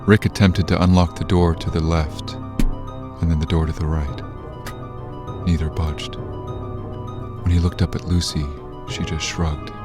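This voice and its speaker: A man delivering scripted narration as the grave storyteller of a dark audio drama. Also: low voice, flat delivery, slow, pauses often, music in the background. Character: grave third-person storyteller of a dark thriller.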